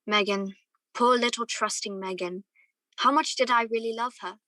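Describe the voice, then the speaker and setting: A wistful young gentleman played by a woman tells his love story in a radio play. The voice is bright and forward, recorded close and dry. He sounds earnest and torn. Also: a little high, moderate pace, dry recording. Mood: troubled, pensive. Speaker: student or guest actor in a radio play. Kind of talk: radio play acting with first-person narration.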